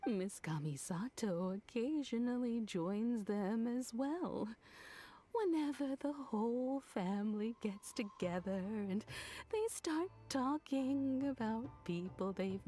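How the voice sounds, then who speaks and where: A woman playing a kindly old household servant in a video game, gentle, polite and fond, with music underneath. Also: medium pitch. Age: older. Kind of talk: scripted video game dialogue.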